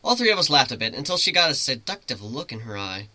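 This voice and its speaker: A man's voice: mid-range voice, big pitch swings, fast talker, pushed and bright. A man narrating fan fiction in the first person as an amateur dramatic reader. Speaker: story reader.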